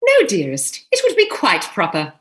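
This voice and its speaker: A genteel older lady of a period stage comedy, acted by a woman, shrewd and exasperated. Her mid-pitched voice swings dramatically as she delivers crisp, pointed scoldings. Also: wildly swooping pitch, moderate pace. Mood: exasperated, fond.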